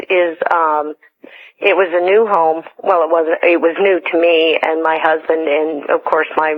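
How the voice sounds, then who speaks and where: A middle-aged or older woman from the western United States calling a radio show, telling a personal story in a halting, earnest way. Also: low voice. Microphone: telephone. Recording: tinny phone line on radio.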